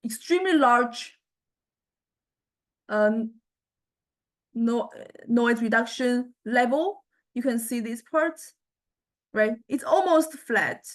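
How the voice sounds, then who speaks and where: Higher-voiced woman lecturing, halting delivery. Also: animated. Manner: hesitant, halting delivery with repeated check-ins. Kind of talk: software tutorial lecture, explaining step by step.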